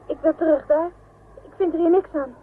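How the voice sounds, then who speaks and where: Scared young astronaut, high voice, radio play, tinny w/ slight noise. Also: female voice, steady tone, brisk. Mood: uneasy. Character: uneasy young female space explorer, fearful of the planet. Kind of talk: science fiction radio drama acting. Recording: thin phone-like band, faint noise.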